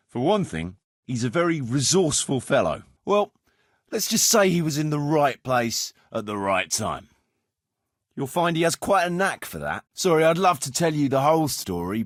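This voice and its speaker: A man's voice: mid-range voice, dry recording, studio quality. A man playing a roguish, world-weary medieval mercenary captain in a video game, firm but fond, speaking bluntly and colloquially. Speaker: game character, band leader. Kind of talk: video game dialogue acting.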